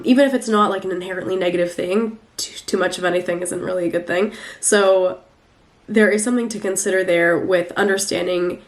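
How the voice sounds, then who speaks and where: A young woman with a stuffy, nasal voice from a recent flu. She talks through a tarot reading in a loose, chatty way and trails off into fillers. Her pitch sits in a middling range. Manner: chatty, rambling, many fillers. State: congested from the flu. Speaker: tarot reader. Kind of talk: casual weekly tarot reading.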